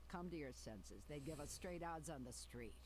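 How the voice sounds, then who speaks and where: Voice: mid-range voice, expressive, moderate pace, lightly acted. A feisty old woman as voiced by an actor in a video game, chirpy and needling. She chides like a bossy mother-in-law, over faint background noise. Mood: chiding, scornful.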